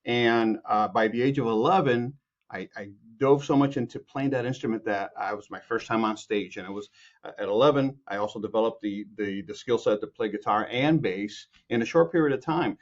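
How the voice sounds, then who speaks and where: A man's voice: lowish voice, a little fast, highs cut off. A man from Chicago, a composer guest, answering interview questions in a rambling way with many restarts.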